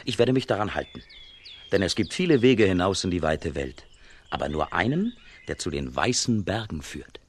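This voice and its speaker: Young wandering peasant lad in a radio play, lowish voice, swoops around, wide-eyed. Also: male voice, very animated, moderate pace, husky, exaggerated voice. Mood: resolute, carefree. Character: strong young peasant wanderer, good-natured folktale hero. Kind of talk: German children's radio play acting.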